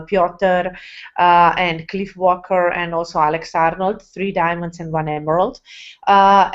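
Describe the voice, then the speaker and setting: A woman speaking English as a second language presents to her sales team with eager enthusiasm. She pauses often on fillers and restarts her sentences. Her voice sits low, and the sound is muffled and lo-fi, like an online call. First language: not English. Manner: hesitant, many fillers and restarts. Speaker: network marketing presenter.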